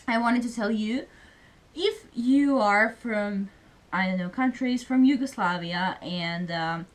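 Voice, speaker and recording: Young woman talking to camera, chatty, says like a lot, middle-pitched voice. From Serbia. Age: young adult. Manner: chatty, many fillers, speaks English as a second language. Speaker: student vlogger. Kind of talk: casual vlog chat.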